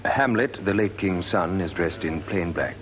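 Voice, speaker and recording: Casting: formal British male narrator, very low pitch, scripted radio announcing, dull vintage sound. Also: a little slow, a crowd in the background. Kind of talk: scripted scene-setting narration for radio drama. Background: music.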